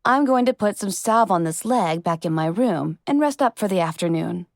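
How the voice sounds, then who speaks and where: A woman voicing an elegant, compassionate mare singer with a soft-spoken, polite manner. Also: mid-range voice, lively intonation, normal speed, gravelly, no room sound, clean studio sound. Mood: soft, reassuring.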